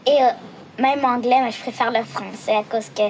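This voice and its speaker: A woman's voice: on the high side, steady tone, moderate pace, creaky, slight background noise, low-quality recording. A woman answering interview questions hesitantly and slipping in English words.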